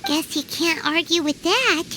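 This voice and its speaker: A woman voicing a small, excitable, curious fairy girl companion with a squeaky childlike voice in an anime game. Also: a little slow, a little hiss or hum, exaggerated voice. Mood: chirpy, trusting. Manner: exclamatory, refers to herself in third person.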